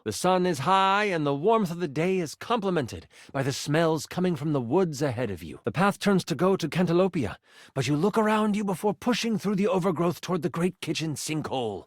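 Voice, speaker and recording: Casting: male actor for enthusiastic, flirty male game master in a video game; lightly high voice, lively swings in pitch, dramatic storyteller. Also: moderate pace, dry recording, studio quality, natural voice. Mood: suspenseful storytelling. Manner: expressive, theatrical storyteller. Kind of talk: dramatic tabletop fantasy narration, video game voice acting.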